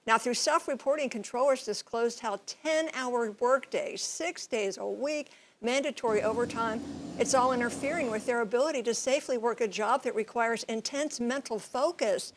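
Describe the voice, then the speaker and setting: A woman reporting as a TV news correspondent, explaining things in measured, clear phrases. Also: a little high. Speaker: TV transportation correspondent and pilot.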